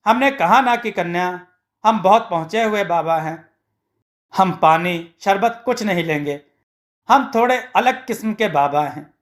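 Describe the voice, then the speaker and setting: A man's voice: sits high, lively intonation, a little slow. A man voicing a brash, lazy farmer posing as a boastful holy beggar in a moral story, with a pompous, bossy manner.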